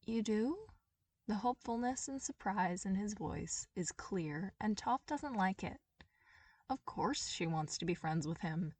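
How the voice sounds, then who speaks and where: A woman's voice: mid-range voice, expressive, unhurried, darkish tone, slightly rough, no echo, distant mic. Woman narrating a story, slightly off-mic. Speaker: amateur audiobook narrator.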